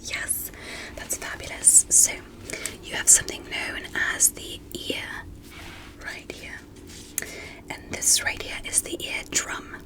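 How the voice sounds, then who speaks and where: Woman whispering as a gentle alien doctor, very slow. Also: natural voice, poor audio. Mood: calm, attentive. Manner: slow, soothing, formally polite, encouraging. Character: gentle, curious female alien doctor examining a human. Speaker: ASMR performer in a medical roleplay. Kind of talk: soft whispered ASMR roleplay.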